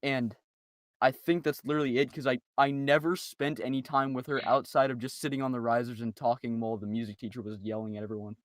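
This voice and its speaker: A man's voice: medium pitch, flat delivery, fast, no room sound. A man chatting casually on a group podcast, telling anecdotes in slangy, informal language. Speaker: podcast member. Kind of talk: casual group chat, storytelling.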